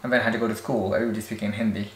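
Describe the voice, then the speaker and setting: A man from India with an Indian accent, chatting in a relaxed, conversational way as a vlog co-host. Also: lowish voice, racing, a little hiss or hum. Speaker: vlogger co-host. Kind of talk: casual chat. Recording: echoey room with faint ambience.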